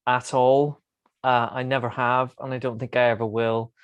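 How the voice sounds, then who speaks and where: A man's voice: medium pitch, level tone, moderate pace, clear, resonant tone, no room sound, muffled recording. A man on a podcast panel, chatting casually about films. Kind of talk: casual chat.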